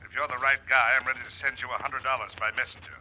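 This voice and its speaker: An actor playing an imperious international crime boss in an old radio drama, commanding and threatening. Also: male voice, mid-range voice, level tone, fast talker, pushed and bright. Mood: menacing. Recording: noisy vintage radio recording.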